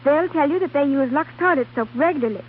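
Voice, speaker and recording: A woman's voice: sits high, lively intonation, quick pace. A woman playing a sensible, know-it-all Hollywood secretary in a 1930s radio commercial, lecturing and persuasive. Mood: insistent, selling. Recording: tinny 1930s radio, faint hiss.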